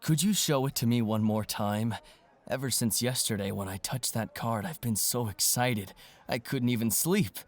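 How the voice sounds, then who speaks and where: An actor playing an arrogant, scornful rich teenage card duelist with a condescending drawl. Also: male voice, mid-range voice, moderate pace, close to an everyday voice. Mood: falsely friendly. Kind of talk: audio manga dramatization.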